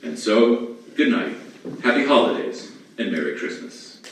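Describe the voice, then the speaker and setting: A man in a very echoey space, some way from the mic. He announces like an old-time radio drama host, at a middling pitch. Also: sing-song, measured pace, a little hiss or hum. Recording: echoey distant stage pickup.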